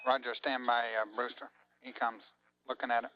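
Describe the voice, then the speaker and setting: A man's voice: mid-range voice, little pitch movement, moderate pace, no room sound. A man speaking over a radio link as a mission control communicator, giving courteous, clipped procedural calls. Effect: radio transmission. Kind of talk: procedural spaceflight radio chatter. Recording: muffled lo-fi 1980s radio link.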